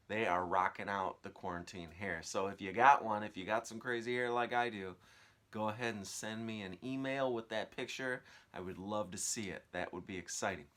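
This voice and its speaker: A man's voice: fairly low pitch, a bit bright. A man speaking as a teacher, telling a children's story aloud with casual asides. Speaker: teacher reading aloud to pupils.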